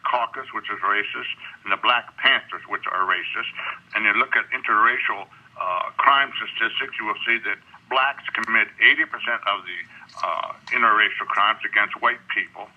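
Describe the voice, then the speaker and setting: A man's voice: on the low side, normal speed. A man calling a phone-in show, delivering a political opinion monologue. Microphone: telephone. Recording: muffled telephone line.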